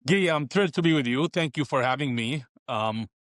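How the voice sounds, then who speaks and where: A man from Jordan explaining things as an interview guest, in measured short phrases with pauses. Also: mid-range voice, lively intonation, a bit bright, no echo, studio quality. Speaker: podcast guest, author.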